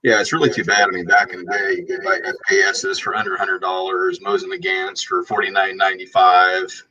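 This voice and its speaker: Low raspy man, distant and dull. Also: flat delivery, brisk, sharp tone. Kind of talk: casual chat.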